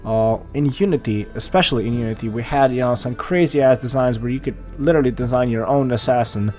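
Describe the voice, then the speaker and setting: A man's voice: medium pitch, a little fast, faint music behind. Rambling man narrating his sketch. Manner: rambling, many fillers and restarts. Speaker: art channel host. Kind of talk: casual voice-over commentary on a sketch.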